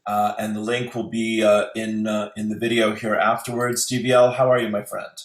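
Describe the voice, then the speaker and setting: A man's voice: lowish voice, little pitch movement, normal speed. A man hosting an online spiritual interview show, speaking casually over a video call and affirming his guest often. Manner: friendly and affirming, frequent "yeah" and "beautiful". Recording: roomy video call audio.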